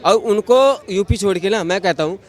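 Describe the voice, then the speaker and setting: A man's voice: high-pitched, fairly quick. A man in a casual street interview, plain-spoken and insistent, often repeating himself to press his point.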